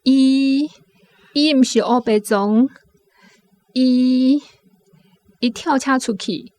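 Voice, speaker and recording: 聲音高亢、語速緩慢的台灣母親. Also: female voice, high-pitched, drawn-out words, a little mellow, no room sound. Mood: pained, grieving. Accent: Taiwanese. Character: anguished protective mother in a rural radio play.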